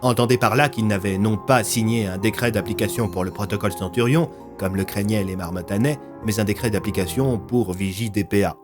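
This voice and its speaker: A man's voice: on the low side, a little fast, few pauses, over music. A man narrating a scripted audio fiction as a storyteller.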